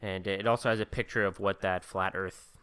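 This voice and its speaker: A man's voice: low voice, fairly even intonation, a little fast, sharp tone, smooth. A young man co-hosting a casual podcast, upbeat and joking as he plugs the show. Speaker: podcast co-host.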